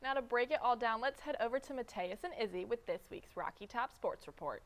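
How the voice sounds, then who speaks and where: A young woman anchoring a student newscast, reading smooth transitions. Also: a little high, animated, brisk, fairly bright tone. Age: young adult. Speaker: news anchor. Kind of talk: read news script.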